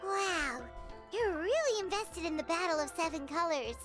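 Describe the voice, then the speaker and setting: A woman's voice: very high voice, animated, normal speed, over music. A woman voicing a tiny, curious, childlike fairy companion in a video game, exclaiming as she talks. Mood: surprised.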